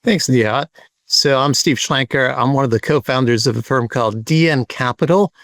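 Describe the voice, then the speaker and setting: A man introduces himself on an investor panel, listing facts crisply with few fillers. His voice is mid-pitched, and the sound is dry. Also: normal speed, fairly bright tone, no echo. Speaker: venture capitalist.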